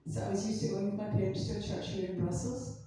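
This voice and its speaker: Female church interpreter, mellow voice, distant, heavy chapel echo. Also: medium pitch, little pitch movement, fairly quick, a little hiss or hum. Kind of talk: consecutive interpreting into English. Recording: echoey chapel from far off.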